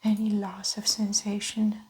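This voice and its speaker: A woman performs an ASMR medical roleplay in a soft whisper at a middling pitch. She speaks very slowly, giving gentle, methodical instructions and observations like a doctor at an exam. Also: monotone, rough recording.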